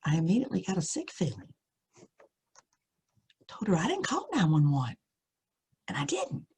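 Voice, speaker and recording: Female storyteller, lowish husky voice, reading an eerie first-person tale with deliberate, suspenseful delivery. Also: huge pitch swings, darkish tone.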